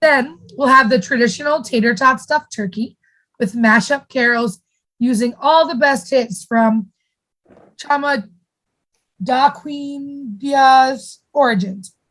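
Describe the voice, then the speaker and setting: A woman's voice: sits high, slow pace, a bit bright, exaggerated voice, rough recording. An actress playing a saccharine, pushy sitcom mother, gushing and primly chiding in a comedic radio play. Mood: gushing, cheerful.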